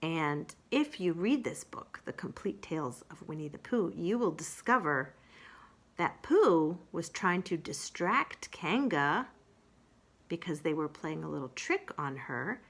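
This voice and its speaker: A woman working as a children's storyteller, chatting casually to young listeners and introducing a storybook. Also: low voice. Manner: animated, unhurried storytelling.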